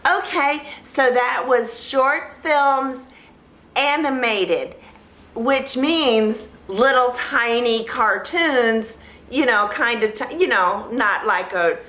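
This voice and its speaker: A woman with a bright, forward voice talks slowly in an echoey room. Her delivery is childlike and naive, built from simple sentences. She rambles casually as a vlog host, often repeating a phrase while she looks for the next word. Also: a little high. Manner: halting, repeats phrases as she searches for words. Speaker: vlog host reviewing films. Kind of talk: casual rambling talk to camera.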